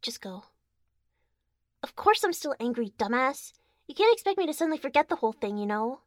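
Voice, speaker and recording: A woman's voice: fairly high pitch, big pitch swings, measured pace, fairly bright tone, no room sound, studio quality, heavily acted. A young woman voicing a bossy, domineering girlfriend in an audio roleplay, giving commands and teasing. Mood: angry.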